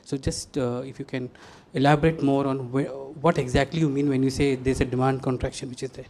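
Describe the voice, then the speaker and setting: A man's voice: medium pitch, level tone, fairly quick, mellow. A man with an Indian accent, a business reporter, asking wordy, roundabout questions. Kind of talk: asking questions at an earnings briefing. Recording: background noise and room ambience.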